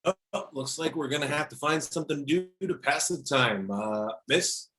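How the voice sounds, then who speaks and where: A man's voice: fairly high pitch, animated, moderate pace, sharp tone. A man playing a cocky, flirtatious macho soldier with casual swagger in an amateur table read. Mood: flirty, casual.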